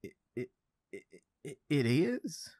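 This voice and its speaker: A man's voice: medium pitch, wildly swooping pitch, slow pace, warm tone, husky, dry recording, studio quality, exaggerated voice. A man as a wildly jittery, stammering husband. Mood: panicked. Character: nervous, timid, flustered husband. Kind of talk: horror radio play acting.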